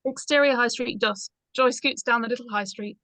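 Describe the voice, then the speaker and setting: A woman's voice: a little high, normal speed, pushed and bright, dry recording. A woman reading stage directions aloud as scripted narration in a screenplay read-through.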